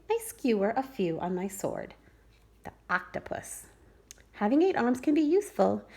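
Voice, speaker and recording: Expressive, gravelly-voiced preschool teacher. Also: female voice, on the high side. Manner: expressive, sing-song storytelling. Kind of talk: reading a picture book aloud to children.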